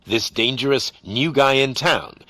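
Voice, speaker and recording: A man's voice: medium pitch, unhurried, bright, forceful tone, dry recording. A man playing a polished television news reporter in a video game, with a dramatic newsreader cadence.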